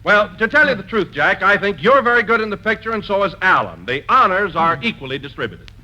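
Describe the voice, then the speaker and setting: An actor playing a genial, candid sidekick with a hearty, polished delivery in a 1940s radio comedy. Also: male voice, high-pitched, very animated, a little fast, pushed and bright, exaggerated voice. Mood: earnest. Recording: faintly noisy 1940 radio broadcast.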